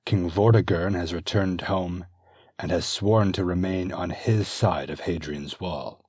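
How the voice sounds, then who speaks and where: A man's voice: deep voice, measured pace, a little mellow, slightly rough, dry recording, studio quality. A man voicing an earnest, anxious Roman general who speaks with urgency.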